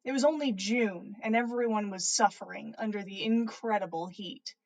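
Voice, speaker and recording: A woman's voice: mid-range voice, moderate pace, low-quality recording. A woman hosting a story livestream, reading narration aloud in an informal way with casual asides. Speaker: podcast host reading stories.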